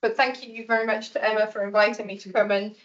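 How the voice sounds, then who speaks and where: A woman with a Geordie accent giving a conference presentation in a hospital lecture hall. She talks in a brisk, friendly, self-deprecating way. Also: medium pitch, little pitch movement, a bit bright. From north-east England. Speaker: research hub speaker. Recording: dull lo-fi sound.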